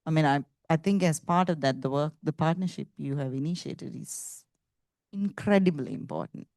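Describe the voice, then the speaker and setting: A woman's voice: sits low, lively intonation, normal speed, creaky, darkish tone, dry recording, studio quality. A woman speaking English as a second language, earnestly making her point on a discussion panel. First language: not English. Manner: earnest, thinking aloud with restarts.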